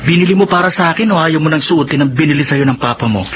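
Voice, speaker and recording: A man playing a caring, playful young college student who gently nags, in a Filipino radio drama. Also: fairly high pitch, fast, natural voice. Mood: playful teasing. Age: young adult. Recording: muffled, with noisy background.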